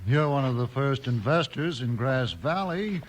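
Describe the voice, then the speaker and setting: An actor playing a surly, impatient western henchman with rough, slangy talk in a 1950s radio show. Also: mid-range voice, lively intonation, a little slow, a little hiss or hum, natural voice. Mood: insinuating. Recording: old 1950s radio recording.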